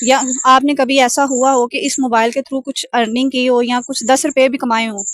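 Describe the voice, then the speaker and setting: Female sales coach, higher-pitched voice, closely dry sound, explanatory and wordy call pitch. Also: flat delivery, moderate pace, no echo. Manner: wordy, instructive, mixes in many English terms. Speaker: sales coach pitching an online training course.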